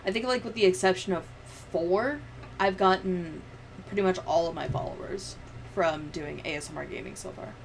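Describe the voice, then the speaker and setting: A woman's voice: lowish voice, moderate pace, gravelly, poor audio. Casual, sweary woman streamer with background noise. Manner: sweary, informal, giggly. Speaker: livestreamer. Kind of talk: casual livestream chat, storytelling.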